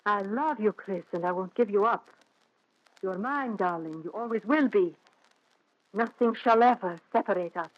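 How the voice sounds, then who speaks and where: An actress playing a seductive, possessive, jealous wife from Egypt in a 1940s radio thriller, speaking with theatrical intensity. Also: female voice, mid-range voice, moderate pace, no echo, lightly acted. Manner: theatrical, intense, endearment-laden. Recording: dull, band-limited 1940s radio recording.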